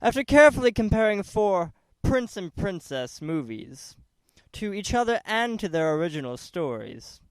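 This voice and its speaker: High-voiced young-sounding man reading scripted narration. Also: sing-song, normal speed, smooth, dry recording, rough recording. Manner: formal, careful, essay-like delivery. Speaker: documentary narrator. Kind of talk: scripted narration, read aloud.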